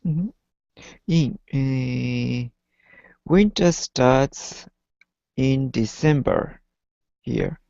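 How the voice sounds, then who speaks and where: A man who is a learner answers briefly and hesitantly. His voice is very deep and dark with heavy creak. The recording is close, dry and slightly muffled. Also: drawn-out words, pauses often, no room sound. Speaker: English learner, student. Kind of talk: short hesitant answers in an online lesson.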